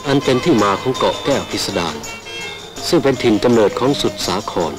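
A man's voice: mid-range voice, expressive, a little fast. A man reading scripted, ceremonial documentary narration on a vintage film soundtrack. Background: music.

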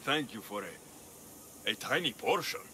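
A man's voice: on the low side, expressive, takes it slowly, sharp tone, slight background noise, exaggerated voice. An actor playing a dry-witted male Greek mercenary in a video game, with a sardonic delivery. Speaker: player character.

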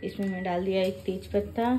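A woman's voice: medium pitch, steady tone, brisk, mellow, slight background noise. A young woman from India with a Hindi accent, chatting casually to viewers as she cooks and tidies her home, explaining each step. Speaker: family vlogger. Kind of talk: casual home vlog narration. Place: home kitchen and bedrooms, indoors. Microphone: handheld phone at arm's length.

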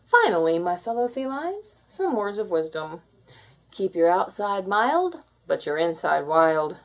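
A woman's voice: mid-range voice, big pitch swings, unhurried. A woman voicing a confident, bossy alpha cat who lectures kittens, in a theatrical storybook read-aloud style. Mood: proud.

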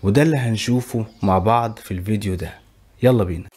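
A young man with an Egyptian Arabic accent who hosts a tech channel. He briskly talks viewers through a website, explaining each step and click as he goes. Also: on the low side, very noisy. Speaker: tech tutorial host. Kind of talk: screen-recorded software tutorial.

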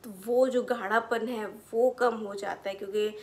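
Young lady doing a physio explainer, slightly high voice, takes her time, rephrases stuff simply. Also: steady tone, measured pace. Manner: explanatory, repeats and rephrases technical terms in plain words. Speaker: physiotherapist presenter. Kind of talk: educational explainer to camera. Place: small furnished room indoors.

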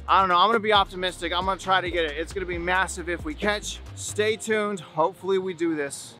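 Guy in his 40s, pretty high voice, casual outdoor vlog, talks to viewers and repeats stuff for emphasis. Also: steady tone, quick pace, bright, forceful tone, soft background music. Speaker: fishing channel host. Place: outdoors on an open beach and in a kayak at sea.

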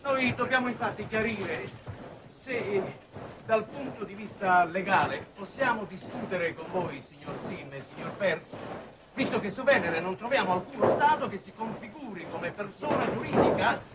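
An actor playing an idealistic, wordy head of a space commission in a 1960s Italian television drama, oratorical and halting. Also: male voice, sits high, animated. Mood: flustered, formal. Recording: noisy old television soundtrack.

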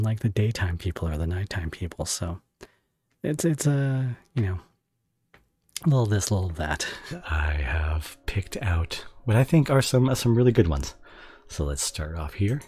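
A man's voice: fairly low pitch, warm tone, husky. A man streaming from home, chatting casually with viewers in a rambling, self-interrupting way and laughing often. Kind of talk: casual livestream chat with viewers.

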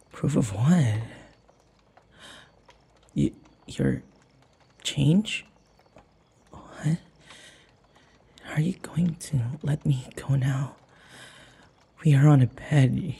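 Man acting a very breathy, timid, submissive young man. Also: medium pitch, warm tone, full character voice. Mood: shaken, confused. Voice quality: breathy, husky. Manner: halting, stammering, hesitant. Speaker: audio roleplay voice actor. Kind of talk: intimate boyfriend audio roleplay.

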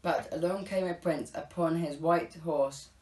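A teenage male narrator reading a rhyming storybook script aloud, a little haltingly. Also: fairly high pitch, fairly even intonation, a little mellow. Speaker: narrator of a Scouts pantomime. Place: living room at home, indoors. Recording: roomy echo, faint background noise.

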